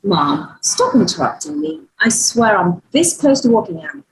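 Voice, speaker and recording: A woman's voice: on the low side, very animated, normal speed, poor audio. A woman acting in a table read as an earnest, easily exasperated daughter in her early thirties. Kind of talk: speech read from a screenplay.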